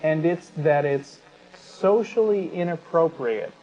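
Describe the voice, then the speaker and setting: Guy co-hosting a talk show, kinda high voice, argues and keeps correcting himself, muffled audio. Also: expressive, warm tone. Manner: halting, self-correcting, argumentative. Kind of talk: unscripted talk show commentary. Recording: dull sound with clear background noise.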